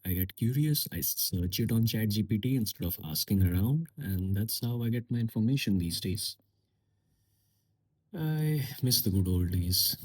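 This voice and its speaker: A man with a slightly low, breathy, husky voice talks alone into a podcast mic. He muses in an unhurried way, pausing and circling back with fillers as he thinks aloud. English is not his first language. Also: animated. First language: a language other than English and Hindi. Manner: musing, unhurried, with pauses and fillers. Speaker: podcast host. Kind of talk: reflective solo podcast monologue.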